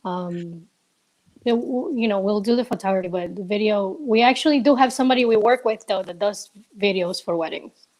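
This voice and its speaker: A young woman from Ecuador answers interview questions in a casual, hesitant way, full of fillers and restarts. Her voice sits at an ordinary middle pitch and comes through a remote call connection. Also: brisk, darkish tone, poor audio. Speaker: guest, business co-owner.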